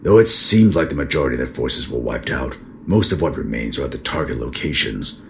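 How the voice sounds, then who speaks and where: A man acting a stern space-military captain with an extremely deep, gravelly voice. He is guarded and official, in an audio drama with faint noise under the voice. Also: lively intonation, a little fast. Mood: grave, confiding.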